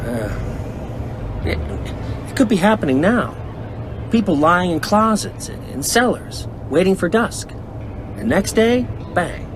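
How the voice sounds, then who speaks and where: A man's voice: high voice, very animated, takes it slowly, pauses often, noisy background, full character voice. A man acting in a radio drama as an earnest, uneasy man, hesitant and intense. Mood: urgent, uneasy. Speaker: actor.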